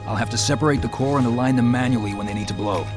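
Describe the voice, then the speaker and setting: An actor playing a dutiful soldier in a video game, speaking respectfully and earnestly to a superior. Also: male voice, mid-range voice, racing, lightly acted. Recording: loud music behind. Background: loud music.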